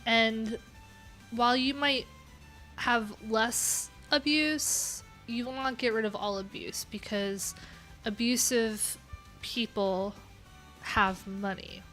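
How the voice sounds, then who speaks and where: A woman's voice: on the high side, steady tone, takes it slowly, a bit bright, faint music behind. A woman hosting a casual coding livestream, talking candidly in a rambling way with lots of filler words. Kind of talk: casual live-stream chat.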